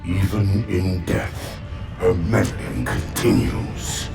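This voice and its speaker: Ancient alien warlord: deep, menacing, contemptuous, echoing game-villain delivery. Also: male voice, steady tone. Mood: menacing scorn. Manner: slow, grandiose, menacing. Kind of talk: video game villain acting. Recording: echoing, distant, loud ominous music.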